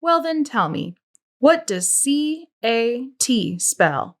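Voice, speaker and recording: A woman's voice: fairly high pitch, sing-song, slow pace, voice pushed up, full character voice. A woman reader voicing a stern, sarcastic female camp warden with pointed, interrogating questions. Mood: probing.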